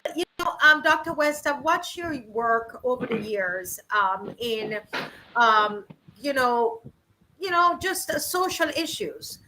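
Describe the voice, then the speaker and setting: A middle-aged woman hosts and introduces guests in a loose, chatty way, filling pauses with plenty of ums and restarts. Her voice sits high, with a bright edge. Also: lively intonation, slight background noise, rough recording. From Barbados. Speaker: host of a virtual rally. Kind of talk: casual hosting and introductions.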